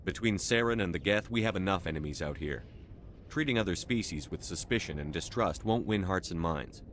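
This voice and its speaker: An actor playing a composed, dutiful military commander in a video game, direct and matter-of-fact. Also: male voice, low-pitched, expressive, brisk, a bit bright, slight background noise. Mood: firm, persuasive. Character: composed, dutiful male military starship commander, firm and diplomatic.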